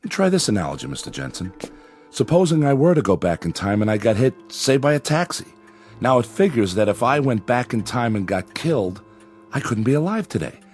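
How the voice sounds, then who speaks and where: A man playing a rational psychiatrist in a drama, speaking in a deliberate, explanatory and emphatic way. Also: medium pitch, big pitch swings, a little mellow, faint music behind, close to an everyday voice. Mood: reasoning, emphatic. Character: measured, rational male psychiatrist reasoning with patient. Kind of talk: dramatic dialogue and first-person narration.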